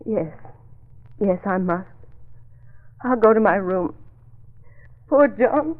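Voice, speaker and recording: An actress playing a tender, grief-stricken widow, plaintive and melodramatic, in old-time radio drama. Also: female voice, medium pitch, animated, slow pace. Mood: mournful. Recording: tinny phone-like vintage radio recording.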